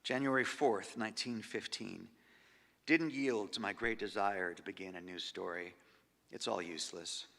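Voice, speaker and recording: A man giving a measured, expressive stage reading of diary entries, sinking to near-whispers at the ends of phrases. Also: lowish voice, creaky. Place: large concert hall. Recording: long hall reverb.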